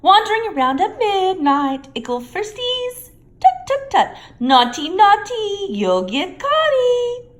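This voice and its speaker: A woman's voice: extremely high pitch, big pitch swings, takes it slowly, pitched above the performer's own voice, exaggerated voice. A woman voicing a mischievous male poltergeist who taunts in a sing-song voice. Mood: mocking sing-song.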